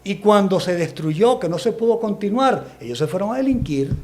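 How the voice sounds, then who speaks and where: Brief: man from Venezuela, interview guest, emphatic and explanatory, a touch high in pitch. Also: big pitch swings, moderate pace, a little hiss or hum. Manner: forceful, explanatory, builds lists of accusations. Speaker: guest analyst. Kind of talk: emphatic political commentary.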